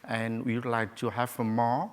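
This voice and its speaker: A man from Vietnam introducing himself as a company chairman, halting with many fillers. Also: mid-range voice, level tone. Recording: long hall reverb.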